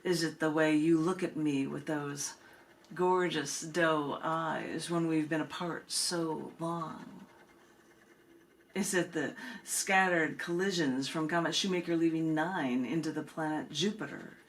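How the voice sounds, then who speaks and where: Woman, deep register, poet reciting, deliberate phrasing, dramatic pauses, slight background noise. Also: steady tone. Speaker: poet reading own work, host of the reading. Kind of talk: spoken-word poetry reading.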